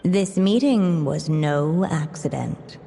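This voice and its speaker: A wistful, grave storyteller for a game. She speaks slowly in a mellow, fairly low voice, measured throughout, with long reverb around it. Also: a little hiss or hum. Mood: solemn. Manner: measured, solemn. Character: calm female storybook narrator, grave and wistful. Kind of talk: scripted storybook narration in a video game.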